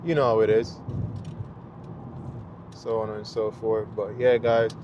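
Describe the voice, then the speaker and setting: A man's voice: lowish voice, unhurried, dull audio. A middle-aged man with a Jamaican accent, chatting casually to viewers while driving, rambling through a story with lots of fillers. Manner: rambling, relaxed storytelling with many fillers and restarts. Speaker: vlogger. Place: inside a car on a highway. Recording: car and road noise in the background.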